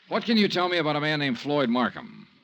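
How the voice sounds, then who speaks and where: Brisk, hard-boiled American radio detective. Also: male voice, fairly high pitch, very animated, vocal fry, fairly bright tone, exaggerated voice. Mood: probing, businesslike. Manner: clipped, businesslike, wry. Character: brisk, hard-boiled male insurance investigator. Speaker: lead actor and first-person narrator. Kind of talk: 1950s American radio detective drama acting.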